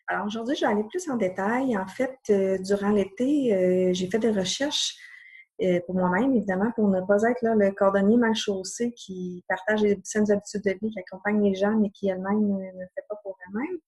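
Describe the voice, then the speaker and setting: Québécoise health coach, 40s, mid-pitched and slightly husky, earnest and hesitant delivery. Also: female voice, moderate pace, a little mellow. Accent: Québécois French. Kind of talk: casual explanatory talk to camera.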